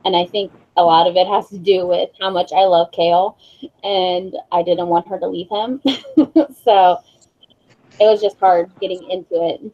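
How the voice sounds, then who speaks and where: A woman hosts a casual book chat with a dark, relaxed voice. Her manner is informal and chatty, and the audio is slightly dull, like a video call. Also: lowish voice, normal speed. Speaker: book club discussion host. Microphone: group video call.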